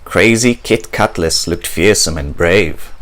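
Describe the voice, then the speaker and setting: A man reading a children's picture book aloud as a storyteller, in a theatrical, expressive way. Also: low-pitched, slow.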